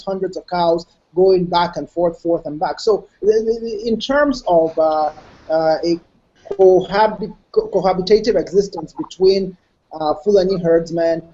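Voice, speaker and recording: A middle-aged man answering as a guest in a television interview, speaking in a measured, analytical way with frequent pauses. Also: high-pitched, dark tone, poor audio. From Nigeria.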